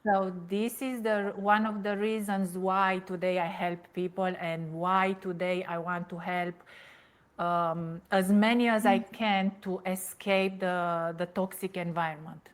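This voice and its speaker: A woman from Transylvania with a Romanian accent, a podcast guest speaking English as her third language, pausing often to find her words. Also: medium pitch, fairly even intonation, clean tone. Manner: searching for words, many pauses and fillers. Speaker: guest, relationship coach. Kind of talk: podcast interview.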